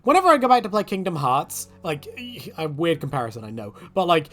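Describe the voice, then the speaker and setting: Hombre muy expresivo comentando videojuegos. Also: male voice, a little high, brisk. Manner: rambling, animated, jokey exaggeration. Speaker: video game commentator. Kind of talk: casual opinion commentary on video games.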